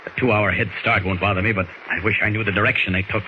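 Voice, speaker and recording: A man's voice: fairly low pitch, steady tone, fast, lightly acted. An American man playing a resolute superhero in a 1940s radio serial, thinking aloud in a clipped, declamatory way. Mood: determined. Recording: noisy old 1940s radio recording.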